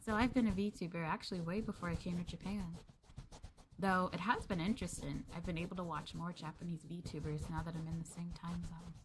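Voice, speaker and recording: A young American woman streaming in a soft-spoken ASMR maid roleplay, calling her listeners master and speaking of herself as the maid. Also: lowish voice, steady tone, brisk, a little hiss or hum. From United States. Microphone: close ASMR microphone.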